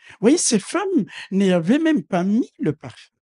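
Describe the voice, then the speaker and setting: Slow, fervent male preacher. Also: big pitch swings, husky. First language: not French. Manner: fervent preaching with repeated hallelujahs; swings from low asides to loud shouted proclamations. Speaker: bishop. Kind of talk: sermon.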